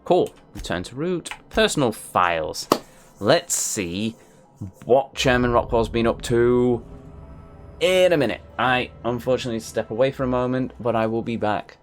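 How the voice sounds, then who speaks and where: A man's voice: mid-range voice, lively intonation, moderate pace, faint music behind. A man hosting a let's play, reading on-screen messages aloud and adding wry asides. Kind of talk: casual game commentary.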